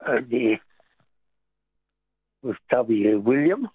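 A man's voice: medium pitch, very animated, extremely slow, slightly rough, no room sound. A man calling in to a radio quiz, hesitant and good-humoured as he guesses. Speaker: caller. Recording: tinny phone line.

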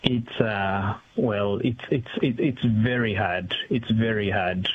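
A middle-aged man giving spontaneous interview answers, haltingly, repeating words as he thinks. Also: fairly low pitch. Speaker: interview guest, community executive officer. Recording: tinny phone line with hiss.

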